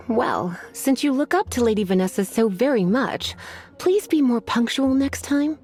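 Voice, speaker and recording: A woman's voice: on the high side, lively intonation, measured pace, darkish tone, faint music behind. A woman voicing a languid, teasing librarian mage in a video game, who drawls, sighs often and calls others cutie.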